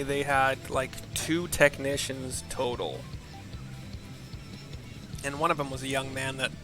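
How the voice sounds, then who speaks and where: A man hosting a gaming video, chatting casually in a halting, rambling way. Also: medium pitch. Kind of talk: casual chat over gameplay.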